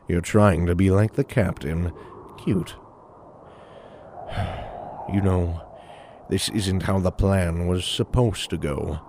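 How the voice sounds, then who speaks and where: A man's voice: low-pitched, drawn-out words, pauses often, noisy background, full character voice. A man playing a scheming, smug supervillain mastermind in an audio drama, with a taunting, theatrical delivery. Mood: smug, mocking. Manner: taunting, theatrical, self-satisfied. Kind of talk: audio drama villain acting.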